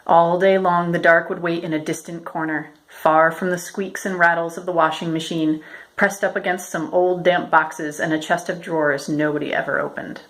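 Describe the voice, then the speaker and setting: A woman reading a children's picture book aloud as a storyteller, in a measured, expressive way. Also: lowish voice, rough recording. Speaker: storyteller, educator.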